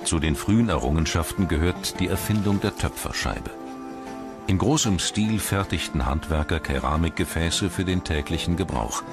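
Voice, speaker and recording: Very low-voiced documentary narrator, male. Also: normal speed. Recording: loud music under the voice. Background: loud background music.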